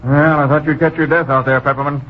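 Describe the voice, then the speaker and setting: An actor playing a keen, plain-talking Border Patrol officer in an old-time radio drama. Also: male voice, on the high side, little pitch movement, fast, close to an everyday voice. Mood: concerned. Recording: muffled, noisy old radio recording.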